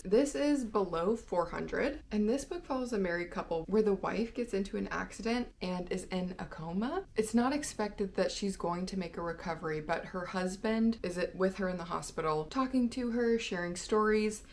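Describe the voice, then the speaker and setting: A young adult woman with a medium-pitched voice talks in a chatty, informal vlog style. She sounds enthusiastic as she addresses her viewers as a book vlogger. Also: normal speed, hardly any pauses, slight background noise.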